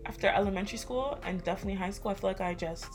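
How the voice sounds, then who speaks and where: A woman's voice: medium pitch, racing, soft background music. A woman in her twenties with a Toronto accent, co-hosting a podcast in blunt, slangy casual chat. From Toronto, Canada. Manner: blunt, slangy, heavy use of "like". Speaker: podcast co-host.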